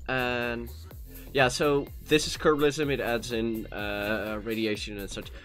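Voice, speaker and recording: Slow-talking Dutch man streaming a game. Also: medium pitch, level tone. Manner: rambling, many fillers and restarts. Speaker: gaming streamer. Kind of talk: casual live-stream chat while playing. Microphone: cheap USB microphone. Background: soft background music.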